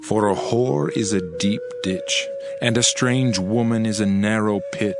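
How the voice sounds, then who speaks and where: A man's voice: on the low side, a little slow. A man giving a dramatized Bible reading in a solemn, emphatic, oratorical manner. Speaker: scripture reader. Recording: music behind the voice. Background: music.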